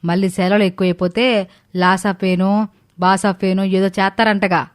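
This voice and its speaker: A woman playing a gluttonous, sly older mother-in-law in an animated story, with rustic, drawn-out exclamations. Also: mid-range voice, natural voice. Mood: feigned concern. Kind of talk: animated moral story dialogue.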